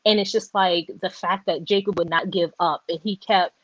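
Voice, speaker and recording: A woman hosting a casual book discussion, talking in animated, rambling runs and correcting herself as she goes. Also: on the low side, normal speed, dull audio. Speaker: podcast host.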